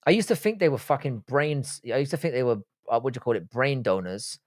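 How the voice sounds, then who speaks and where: A man's voice: mid-range voice, sing-song, fast, no room sound, clean studio sound. Profane man chatting on a livestream. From born in Ireland, grew up in the UK. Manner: profane, blunt, joking. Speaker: livestream host. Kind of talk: casual live-stream chat reading out viewer questions.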